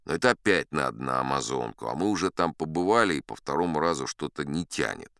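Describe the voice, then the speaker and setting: A man's voice: extremely low pitch, animated, fast, gravelly, fairly bright tone, smooth, no room sound, studio quality, exaggerated voice. A man playing an older sea captain who spins a yarn in a dramatized audiobook, wry, boastful and conversational. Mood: wry, unhurried. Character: old sea captain storyteller, folksy, boastful, dignified.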